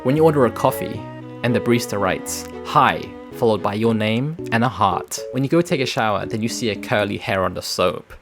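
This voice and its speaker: A young British man, a native English speaker who mixes in Tagalog, explaining a word in a jokey, animated vlog. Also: on the low side. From United Kingdom. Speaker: advice vlogger. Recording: clear music bed behind voice.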